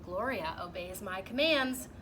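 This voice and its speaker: A woman voicing an earnest male police officer in a storybook, with an emphatic, deliberate, instructive manner. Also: mid-range voice, expressive, a bit bright, far from the mic. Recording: clearly noisy background.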